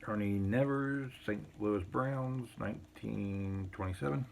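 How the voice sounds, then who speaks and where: A man casually showing a collection on an unscripted video, reading items out in short, halting phrases with muttered asides. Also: on the low side, gravelly, a little hiss or hum. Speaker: collector showing vintage baseball cards. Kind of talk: casual unscripted show-and-tell, reading out cards.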